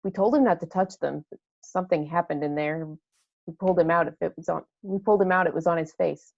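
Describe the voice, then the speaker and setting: A woman playing a shaken, accusing spaceship navigator with a creaky voice in an amateur table read. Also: fairly low pitch, fast talker, darkish tone, no room sound, lo-fi sound. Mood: grim, shaken.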